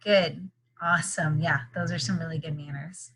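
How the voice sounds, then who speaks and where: A woman's voice: low voice, steady tone, normal speed, a bit bright, slightly rough. A woman leading an interactive read-aloud lesson for young children, explaining simply and checking in with repeated questions. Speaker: teacher reading a picture book aloud.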